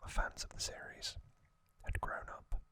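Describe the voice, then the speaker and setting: A man whispers at an unhurried pace in an ASMR style. His breathy, unvoiced voice is very crisp and sibilantly bright, staying even throughout. He speaks off the cuff, pausing thoughtfully as he goes. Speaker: ASMR host. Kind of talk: ASMR, unscripted whispered commentary.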